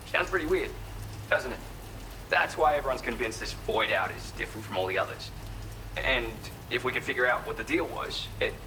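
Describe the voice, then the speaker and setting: A man's voice: lowish voice, close to an everyday voice. An actor playing a laid-back, chatty male gear trader in a video game, talking in slangy, eager sales patter. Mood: intrigued, gossipy. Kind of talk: video game cutscene acting. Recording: clear noise, rain behind the voice. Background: rain and ambient noise.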